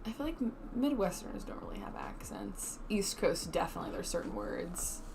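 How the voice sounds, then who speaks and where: A woman's voice: mid-range voice, huge pitch swings, brisk, creaky, background noise. A woman from Wisconsin co-hosting a livestream, chatty and giggly, with lots of likes. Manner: giggly, chatty, uses like a lot. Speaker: stream co-host. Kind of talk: casual chat.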